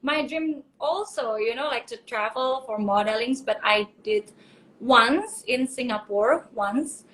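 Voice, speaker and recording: A woman in her twenties from Indonesia, speaking English as a second language in a bubbly, excitable live video chat. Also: fairly high pitch, measured pace, low-quality recording. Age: 20s. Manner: bubbly, exclaiming, laughs often.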